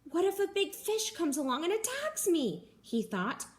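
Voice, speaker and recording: Lively young woman reading a picture book. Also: very high voice, moderate pace. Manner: gentle, animated storytelling with gasps and character voices. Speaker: teacher. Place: living room at home.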